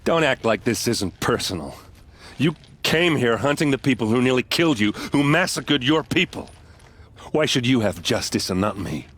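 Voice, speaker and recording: A man's voice: animated, moderate pace, fairly bright tone, husky. An actor voicing a young male warrior in a video game who grieves his murdered sister, earnest and pleading. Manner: earnest, pleading, low-voiced.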